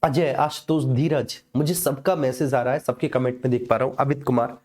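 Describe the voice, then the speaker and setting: This is a man with a North Indian accent and a soft-edged, mellow voice. He lectures like a coaching teacher on a live class, in everyday colloquial speech. His delivery is didactic, punctuated by small check-in tags to his students. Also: mid-range voice, steady tone, moderate pace. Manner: colloquial, didactic, checks in with repeated tag phrases. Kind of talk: live online exam lecture with personal anecdotes.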